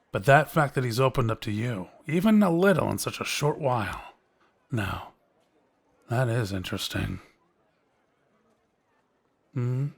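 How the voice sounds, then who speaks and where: A sly fantasy quest-giver, acted by a man, speaks at a very unhurried pace in a medium-pitched voice. He is knowing and teasing, coaxing the listener with measured, insinuating phrases. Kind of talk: fantasy audio roleplay addressed to listener.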